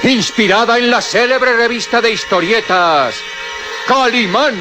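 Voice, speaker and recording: A man narrating and announcing a vintage radio drama serial in a theatrical, booming style. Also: unhurried. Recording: old radio recording with music bed.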